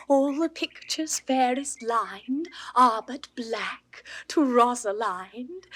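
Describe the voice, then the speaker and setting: An actress playing a young person reciting love verses in classical Shakespearean style, with a breathy voice and measured delivery. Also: female voice, high voice, heavily acted.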